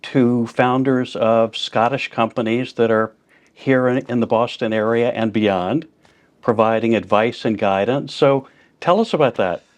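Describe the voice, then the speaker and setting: A man's voice: medium pitch, a little slow. A man hosting an interview podcast, asking plainspoken questions in a friendly way. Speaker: podcast host.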